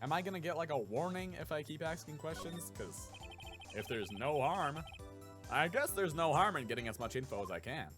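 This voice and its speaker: A man's voice: on the high side, big pitch swings, normal speed, over music. A man hosting a casual game playthrough, musing and thinking aloud as he goes. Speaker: gaming video host and voice actor.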